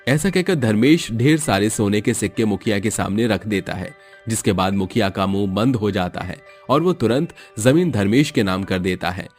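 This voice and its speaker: A male storyteller reads scripted narration in a low voice. The delivery is that of a moral tale, and music plays behind him. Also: animated, moderate pace.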